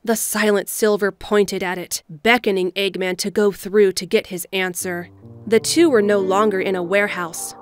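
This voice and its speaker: A woman reading scripted storybook narration as the narrator of an audio drama. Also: mid-range voice. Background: music.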